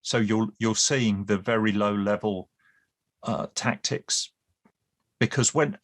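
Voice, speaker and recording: Brief: male guest expert, lowish voice, talks in long explanatory runs with restarts and dry asides. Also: slow. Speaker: guest expert on Cold War British Army. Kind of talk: casual podcast discussion.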